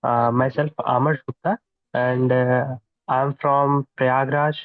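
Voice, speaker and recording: A young man, an engineering student, introducing himself haltingly on an online call. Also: mid-range voice, little pitch movement, no echo. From India. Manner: halting with uh fillers. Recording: tinny phone-like call audio.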